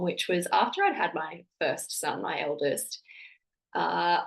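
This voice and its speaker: Croaky, congested woman host. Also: on the low side, low-quality recording. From Australia. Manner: warm encouraging tone with filler-heavy restarts. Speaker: podcast host. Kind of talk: solo podcast monologue.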